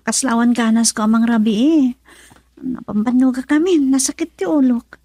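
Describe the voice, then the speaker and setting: A woman's voice: on the high side, normal speed, dark tone, no room sound. An actress with a Filipino accent playing a weary, plaintive young female student in a radio drama. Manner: plaintive, tired. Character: weary female student talking with her mother.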